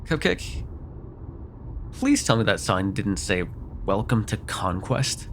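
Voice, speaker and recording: A man's voice: on the low side, very animated, slow, slight background noise, full character voice. A man playing a sardonic, grudgingly apologetic vampire in an immersive roleplay, talking in a wry, hesitant, conversational way with some swearing. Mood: exasperated, uneasy. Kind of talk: immersive ASMR roleplay acting, one side of a dialogue.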